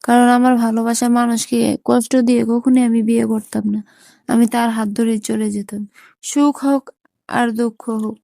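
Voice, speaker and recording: Heartbroken young Bangladeshi sweetheart played by a woman, reproachful, mellow, very dry close sound, phone-call drama. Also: fairly high pitch, vocal fry, no room sound. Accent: Bangladeshi Bengali. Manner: reproachful, plaintive.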